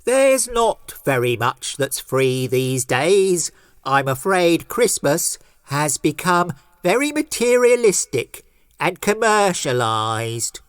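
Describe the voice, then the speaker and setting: A woman voicing a stern, sardonic male Christmas elf with a calm, measured, mocking storybook delivery. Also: lowish voice, smooth, no echo, clean studio sound. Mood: dryly taunting. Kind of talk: storybook character voice.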